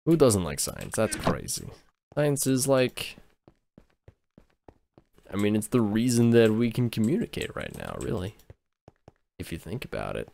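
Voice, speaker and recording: Deep-voiced rambling American streamer guy. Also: huge pitch swings, unhurried, vocal fry, long pauses, darkish tone, a little hiss or hum. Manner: rambling, self-interrupting, chatting with viewers. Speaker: livestreamer. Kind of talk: casual gaming livestream chat.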